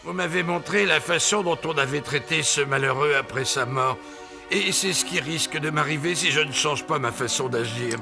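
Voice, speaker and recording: An actor playing a fearful, repentant old miser with a creaky voice in a dramatic cartoon dub. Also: male voice, fairly high pitch, moderate pace. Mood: pleading. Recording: music behind the voice.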